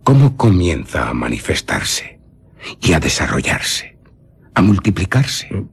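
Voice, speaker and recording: An actor playing an eccentric old baron and vampire scholar in a Castilian Spanish radio drama, telling his tale dramatically with lingering pauses and a very raspy voice. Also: low-pitched, wildly swooping pitch, a little hiss or hum, exaggerated voice. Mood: grave, instructive. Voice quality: very husky, raspy.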